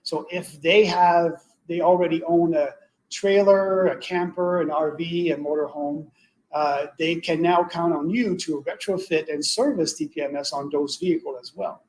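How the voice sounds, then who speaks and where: A man with a fairly high voice delivers a semi-scripted product pitch as a webinar expert. His delivery is halting, with slightly non-native English phrasing. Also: steady tone, rough recording. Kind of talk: semi-scripted sales presentation.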